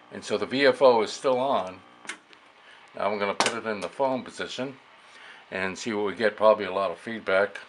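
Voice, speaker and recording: Low-voiced man, halting, with noisy background. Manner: halting, muttering to himself while working. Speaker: amateur radio operator demonstrating vintage gear. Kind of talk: casual hands-on demonstration. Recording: clearly noisy room recording.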